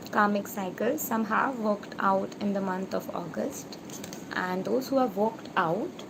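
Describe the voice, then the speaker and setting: This is a woman giving a tarot reading to viewers, her voice carrying a gravelly, fry-laden texture at a middling pitch. She stretches her phrases slowly with pauses, then rushes through quick bursts. A clear, steady hiss of noise runs under the recording. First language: Bengali. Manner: slow, drawn-out phrasing with frequent pauses, switching into rapid bursts. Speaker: tarot reader and spiritual coach. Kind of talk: tarot card reading for an online audience.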